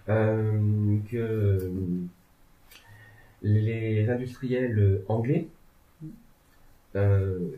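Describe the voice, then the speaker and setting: Guy with a lowish voice chatting politics, lots of euh and asides, sounds tinny like a phone. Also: roomy sound. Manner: rambling, many hesitations, joking asides. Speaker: legal and political commentator. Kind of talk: casual discussion.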